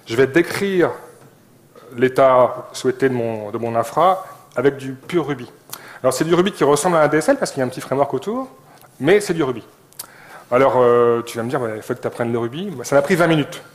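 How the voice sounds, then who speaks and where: A man's voice: mid-range voice, animated, fairly quick. A middle-aged man giving an informal technical conference talk in hesitant, piecemeal phrases. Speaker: conference speaker. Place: indoor conference venue.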